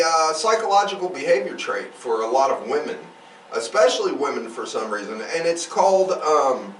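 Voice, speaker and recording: A man's voice: on the high side, a bit bright. A man hosting a podcast, chatting casually in a rambling, conversational, explanatory way. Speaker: podcast host. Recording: roomy echo, distant mic, faint noise.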